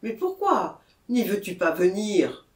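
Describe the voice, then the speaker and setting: A man's voice: high voice, big pitch swings, takes it slowly, roomy sound, recorded from a distance, voice pushed up, full character voice. A man reading as a concerned, authoritative town mayor. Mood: coaxing.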